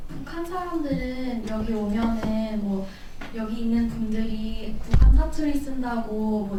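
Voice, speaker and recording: A woman's voice: a little high, noisy background. A woman moderating and interpreting at a university Q&A. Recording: echoey hall from far off.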